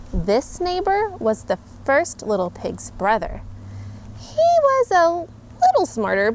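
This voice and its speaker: A woman with a high voice reads a storybook aloud at home, her pitch swooping all over as she plays up the lines. She reads like a mother entertaining her kids, with playful emphasis. A faint hiss sits under the voice. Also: poor audio. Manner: animated, expressive reading with playful emphasis. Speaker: mother reading a picture book aloud.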